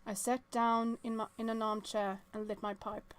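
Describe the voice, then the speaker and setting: High-voiced woman playing a story game aloud. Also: little pitch movement, normal speed. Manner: reacts aloud to the story, chatty. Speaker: let's-play streamer. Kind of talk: reading visual novel text aloud with casual reactions.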